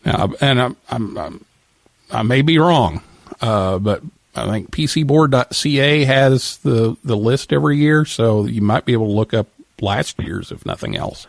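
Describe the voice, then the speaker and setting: A man hosting a live call-in show, casually reading out listener messages in a halting way with repeated words. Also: medium pitch, very animated, gravelly, no echo. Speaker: radio show host.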